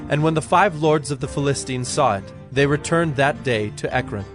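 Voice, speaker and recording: A man narrating scripture from a script in a measured, solemn storytelling manner. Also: mid-range voice, faint music behind.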